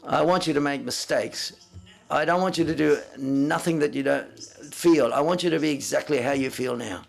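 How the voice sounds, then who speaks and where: Gravelly-voiced male interpreter, halting delivery. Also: fairly high pitch. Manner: halting, self-correcting, renders others' words in first person. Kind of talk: live interpretation at a press conference.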